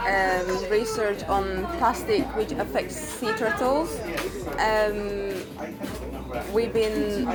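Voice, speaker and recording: A young woman from Cyprus in her twenties, talking casually to camera about her volunteer research, pausing often with ums. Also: on the high side. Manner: hesitant, many ums.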